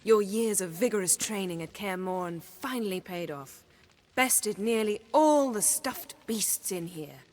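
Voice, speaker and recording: A woman's voice: mid-range voice, animated, a little slow, a little mellow, lightly acted. A woman playing a sardonic, commanding sorceress in a fantasy game, speaking formally and with cool sarcasm. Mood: wry. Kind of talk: video game character acting.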